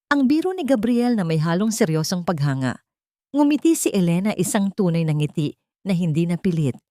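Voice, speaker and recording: A woman with a Filipino accent narrating a scripted dramatic story in a measured, theatrical way. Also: fairly low pitch, big pitch swings, dark tone, no room sound, studio quality.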